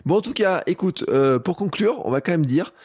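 A man hosting a casual podcast conversation, chatty and animated in his storytelling. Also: sits high, normal speed, breathy edge, dry recording, clean studio sound. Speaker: podcast host.

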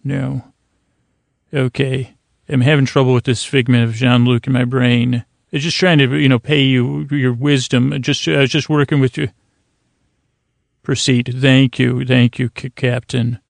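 Creaky-voiced man rambling at bedtime. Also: mid-range voice, level tone, no echo. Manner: halting, stammering, trails off mid-thought. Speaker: host of a bedtime sleep podcast. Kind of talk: rambling, meandering bedtime monologue.

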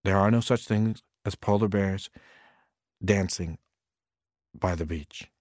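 A man's voice: low-pitched, takes it slowly, darkish tone, dry recording, clean studio sound, pitched below the performer's own voice. A man playing a stern, busy father. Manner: firm, dismissive.

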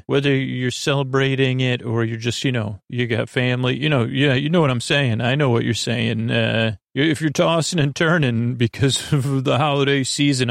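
Creaky, low-key American man rambling soothingly. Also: no echo, studio quality. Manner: meandering, halting, stumbling over words and drifting off on tangents; soothing, lulling. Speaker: podcast host. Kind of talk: rambling bedtime-story podcast monologue.

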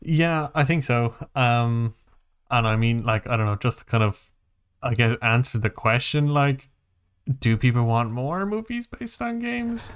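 Clear, smooth-voiced man chatting informally. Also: medium pitch, animated, measured pace. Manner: rambling, hedging, loosely informal. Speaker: podcast host. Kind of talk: casual chat about games and films.

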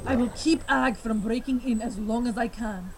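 A woman's voice: fairly high pitch, normal speed, noisy background, close to an everyday voice. A woman acting in an audio drama as a protective female vampire, speaking urgently and dramatically. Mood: urgent, commanding. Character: commanding female vampire, fierce and protective friend.

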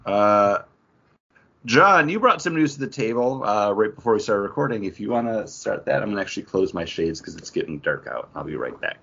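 A man's voice: fairly low pitch, very animated, fairly quick, creaky, fairly bright tone, husky, highs cut off. A man hosting a podcast in a casual, conversational style. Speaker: podcast host. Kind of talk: casual chat.

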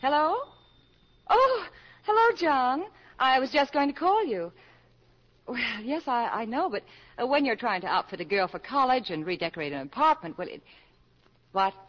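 A woman playing a gentle, flustered well-to-do mother in her thirties in 1940s radio comedy, hesitant, fond and mildly scolding. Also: high-pitched, big pitch swings, normal speed, long pauses, full character voice. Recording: dull old 1940s radio broadcast.

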